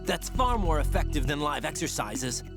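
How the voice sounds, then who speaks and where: A man's voice: a little high, sing-song, normal speed, gravelly. A man playing an earnest, slightly defensive young rookie soldier in a video game. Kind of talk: video game cutscene acting. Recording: music behind the voice.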